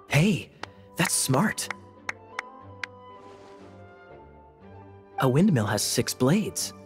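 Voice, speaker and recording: A suave young knight from a video game, sly and playful. He speaks slowly and theatrically, with lively swings of pitch. Faint music sits behind him. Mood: thoughtful. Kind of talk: animated video game voice acting.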